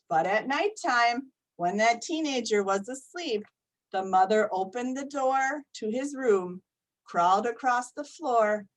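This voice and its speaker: A woman reading a children's story aloud in a gentle, repetitive storybook cadence. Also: mid-range voice, poor audio.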